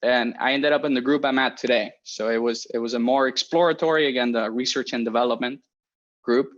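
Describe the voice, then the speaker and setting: Young male engineer from Spain, mid-pitched, easygoing career talk with restarts, muffled lo-fi audio. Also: fairly even intonation, a little fast, fairly bright tone. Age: 20s. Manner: friendly, rambling with frequent restarts and fillers. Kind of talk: casual talk to students.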